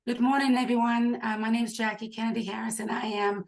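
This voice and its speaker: Hoarse woman greeting a webinar. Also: on the high side, flat delivery, quick pace, rough recording. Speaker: career coach.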